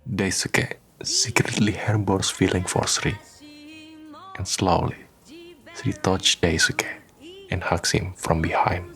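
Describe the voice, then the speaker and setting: A man reading scripted narration for a radio drama, with soft guitar music behind him. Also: low voice, steady tone, takes it slowly, vocal fry.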